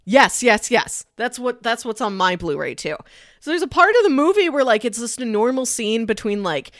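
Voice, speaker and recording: A woman's voice: a little high, big pitch swings, normal speed, a bit bright, no echo, studio quality. A woman co-hosting a podcast, chatting casually about films and peppering her explanations with "like". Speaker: podcast co-host. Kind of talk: casual film discussion chat.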